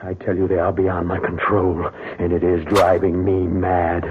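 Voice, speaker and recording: An actor playing a tormented, haunted young concert pianist in an old radio drama, anxious and melodramatic, stammering when overwrought. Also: male voice, sits low, completely flat delivery, normal speed, noisy background, natural voice. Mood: anguished. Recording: muffled lo-fi old radio broadcast.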